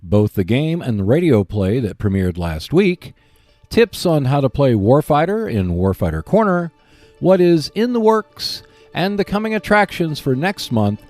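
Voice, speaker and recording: A man hosting a board game podcast, delivering a prepared monologue in a measured, clearly enunciated presenter style. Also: on the high side, big pitch swings, a little mellow, dry recording, faint music behind. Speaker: podcast host.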